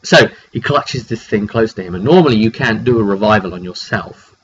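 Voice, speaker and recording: A man with a cold who often clears his throat, casually recapping a tabletop roleplay session as game master in halting, phrase-by-phrase storytelling. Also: fairly low pitch, lively intonation. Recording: dull lo-fi makeshift mic, clearly noisy.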